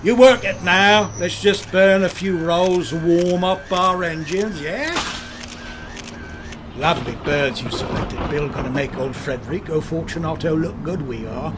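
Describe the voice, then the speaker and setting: An actor playing a cocky, flirtatious British celebrity photographer of the 1960s in a radio drama, swaggering and slangy. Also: male voice, a little high, a little slow, noisy background, natural voice. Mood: brisk, bossy. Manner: swaggering, slangy, smooth-talking.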